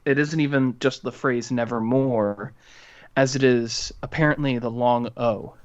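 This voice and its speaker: A man co-hosting a podcast, discussing art casually in a halting, informal way full of filler words. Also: mid-range voice, muffled recording. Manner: informal, halting delivery with many "like"s. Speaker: podcast co-host.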